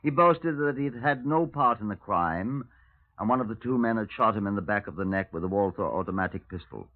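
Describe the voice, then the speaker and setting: An actor playing a stern, formal police detective in a 1950s radio drama. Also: male voice, on the low side, lively intonation, a little fast, lightly acted. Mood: grave, factual. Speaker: narrator and detective. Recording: tinny narrow-band 1950s radio.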